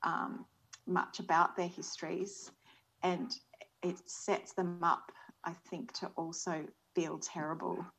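Soft-spoken Australian woman on a video call. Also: lowish voice, flat delivery, slow pace, vocal fry, husky, low-quality recording. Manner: earnest, thoughtful, soft-spoken. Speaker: panel guest. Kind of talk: reflective panel talk. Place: small indoor room. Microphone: video call webcam.

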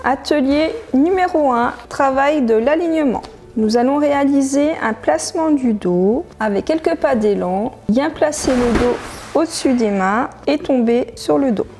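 A woman in her thirties, a gym coach, giving clear step-by-step exercise instructions in a living room. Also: high voice, expressive, unhurried, a little mellow, soft background music.

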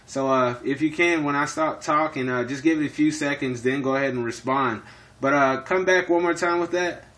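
A man's voice: fairly high pitch, normal speed, a bit bright, slight background noise. A man in casual two-way radio chat, speaking in operator jargon and often repeating himself. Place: indoors in an apartment.

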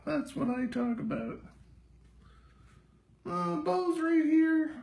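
A performer voicing a vain, sassy female house cat with a raspy, drawling voice, live-streaming from a home kitchen on a phone. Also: high voice, darkish tone, reverberant, full character voice. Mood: smug. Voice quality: raspy, gravelly. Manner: slow, drawling, full of herself. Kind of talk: live-stream pet narration. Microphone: phone camera held by the speaker.

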